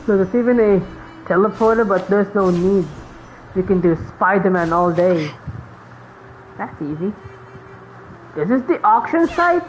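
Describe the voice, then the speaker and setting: A woman's voice: mid-range voice, animated, a little slow, long pauses, faint music behind, low-quality recording. A woman giving casual, offhand commentary while playing through a game. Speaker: game streamer.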